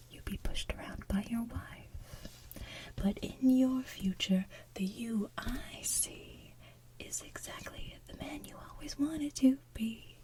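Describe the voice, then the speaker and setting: A woman voicing a sly male voodoo con-man from an animated musical, softly half-singing in a slow, coaxing, breathy delivery. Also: medium pitch, a little hiss or hum, lightly acted. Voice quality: soft, breathy, half-whispered. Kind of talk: softly sung animated musical villain song.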